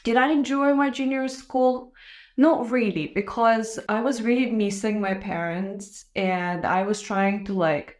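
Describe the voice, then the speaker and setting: A young woman from Israel with a foreign-accented English, hosting her podcast and telling her life story in a candid, reflective way with soft laughs. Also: medium pitch. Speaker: podcast host. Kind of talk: casual personal monologue to camera.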